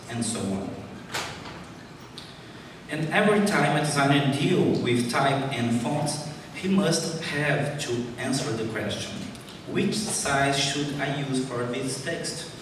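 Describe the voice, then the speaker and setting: A Brazilian man with a Portuguese accent reads his conference talk carefully, weighing each English word. His voice comes from well back from the microphone, with the long echo of a big hall around it. A steady hiss of room noise runs under him. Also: mid-range voice. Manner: careful, deliberate, apologetic about his English. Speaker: conference speaker. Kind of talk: reading a prepared talk. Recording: echoey hall recorded from far off.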